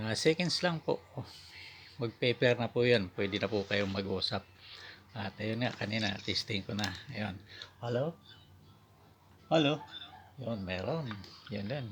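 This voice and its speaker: A man with a Filipino accent giving a casual product demonstration as a vlogger, in halting, piecemeal phrases. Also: lowish voice, vocal fry, a little hiss or hum. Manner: halting, piecemeal delivery with repeated short filler phrases. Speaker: vlogger reviewing gear.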